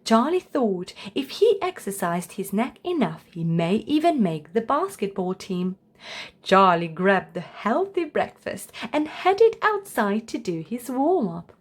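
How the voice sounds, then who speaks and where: Female children's storybook reader, slow and very expressive. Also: mid-range voice. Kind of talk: scripted read-aloud narration for children.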